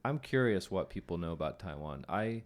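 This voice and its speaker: A young man with a very deep, low voice. He talks in a relaxed, wandering way, thinking aloud as he chats on a podcast and letting sentences trail off. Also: moderate pace. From United States. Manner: relaxed, musing, trailing off mid-thought. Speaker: podcast host. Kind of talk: casual conversation.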